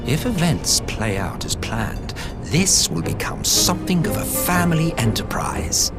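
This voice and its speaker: An ancient, smug game villain who speaks slowly and with sweeping, theatrical swings of pitch. He is sardonic and grandiloquent, and music plays clearly behind his voice. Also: very animated. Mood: portentous. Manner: languid, sardonic, drawn-out theatrical delivery. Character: ancient immortal schemer, smug, mocking, theatrical former emperor. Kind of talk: video game voice acting, grandiloquent villain dialogue.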